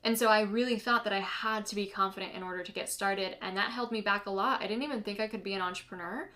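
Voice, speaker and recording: A woman's voice: medium pitch, fast, bright, forceful tone. A woman from the United States whose first language is Polish, hosting a casual solo podcast as a business coach, chatty and earnest with lots of "like" and "you know".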